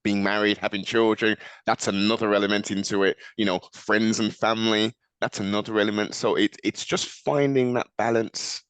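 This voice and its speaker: A man's voice: fairly low pitch, fairly even intonation, normal speed, hardly any pauses, fairly bright tone, clear, resonant tone, no room sound, poor audio. A man hosting a conversational sports interview with theatrical, formal flourishes and an encouraging way of talking. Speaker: sports show host.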